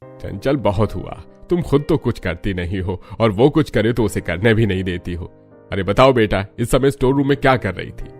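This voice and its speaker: A man's voice: fairly low pitch, expressive, normal speed, a little mellow, close to an everyday voice. A man voicing a firm but fair older father-in-law in a cartoon story, questioning and somewhat stern. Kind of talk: Hindi cartoon story dialogue. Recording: music behind the voice.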